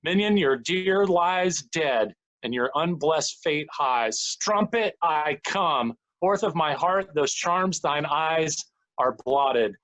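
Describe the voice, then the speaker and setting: Man declaiming as a higher-voiced, vengeful jealous husband. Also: expressive, a bit bright, poor audio. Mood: solemn rage. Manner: declamatory, deliberate. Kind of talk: Shakespeare recitation.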